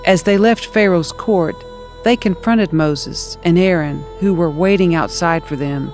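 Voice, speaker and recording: A woman narrating scripture as an audio Bible reader, in an expressive, measured storytelling style. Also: fairly low pitch, faint music behind.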